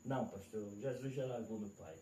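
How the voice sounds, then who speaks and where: Man, Alagoas accent, evangelist guest, plainspoken, respectful, mellow voice, roomy echo, distant from mic. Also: lowish voice, brisk. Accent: Alagoas Northeastern Brazilian. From Alagoas, Brazil. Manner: plainspoken, respectful, keeps addressing the pastor. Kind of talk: testimony interview answers. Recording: echoey room from afar with faint noise.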